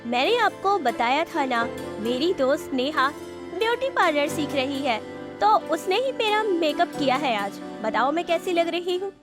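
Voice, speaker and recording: Very high, earnest young daughter voiced by a woman. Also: lively intonation, moderate pace, music in the background. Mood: eager. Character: earnest, pleading young college-going village daughter. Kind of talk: animated moral story voice acting.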